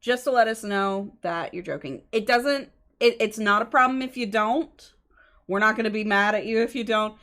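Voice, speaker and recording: A woman's voice: medium pitch, moderate pace, fairly bright tone. A woman livestreamer chatting casually with her co-host and viewers, explaining things politely. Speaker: game livestreamer. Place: indoor streaming setup at a computer.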